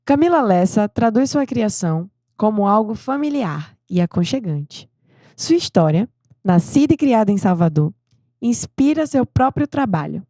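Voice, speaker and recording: A woman co-hosting a podcast, reading a scripted introduction in a measured, announcing manner. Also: mid-range voice, lively intonation, dark tone, no room sound. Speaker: podcast co-host.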